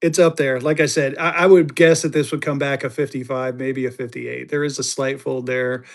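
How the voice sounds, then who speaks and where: A man hosting a live-stream auction of paper money, chatty, jokey and excitable. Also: mid-range voice, moderate pace. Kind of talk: casual live-stream selling chat.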